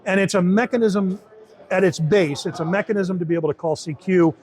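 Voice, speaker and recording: Guy demoing software, kinda high voice, casual explainer, rewords stuff as he goes. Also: fairly quick. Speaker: software team member demonstrating a beta tool. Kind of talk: informal product demo in an interview.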